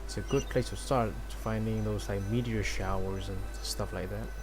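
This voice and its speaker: A man's voice: fairly low pitch, fairly even intonation, normal speed, music in the background. A man streaming a game with laid-back, casual commentary, reading dialogue aloud.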